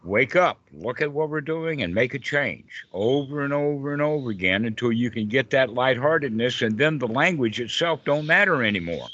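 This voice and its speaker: A man's voice: medium pitch, lively intonation, moderate pace, few pauses, a bit bright, no room sound. An older man teaching informally as a Buddhist teacher, in a jovial, colloquial way with rhetorical check-in questions. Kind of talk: informal dhamma talk in a group call. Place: online group video call. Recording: dull lo-fi online call audio.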